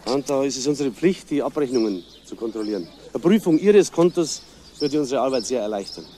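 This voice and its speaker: An actor as a brisk, lively police officer. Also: male voice, mid-range voice, a little hiss or hum, natural voice. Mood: politely insistent. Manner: official, persuasive. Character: brisk, polite but insistent male police officer. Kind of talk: German crime radio play acting.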